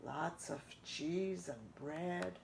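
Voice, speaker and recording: A woman voicing a sly, sneering pirate rat leader with a rough, mock-sweet voice. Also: on the low side, very slow, a little hiss or hum, full character voice, poor audio.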